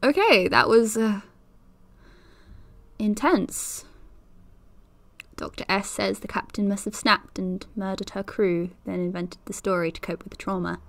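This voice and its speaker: A woman's voice: mid-range voice, measured pace, creaky, long pauses, fairly bright tone, dry recording. A woman playing a nervous young podcaster. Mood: uneasy. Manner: nervous, hesitant, trailing off. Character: anxious young woman podcaster investigating a mystery. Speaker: podcast host character. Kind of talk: audio drama acting.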